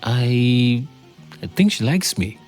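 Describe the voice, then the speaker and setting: An actor with a Nigerian accent playing a lovesick, self-doubting young copywriter in a conversational, hesitant audio drama style. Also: male voice, mid-range voice, animated, takes it slowly, darkish tone, soft background music. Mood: defensive, lovesick. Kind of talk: naturalistic audio drama acting.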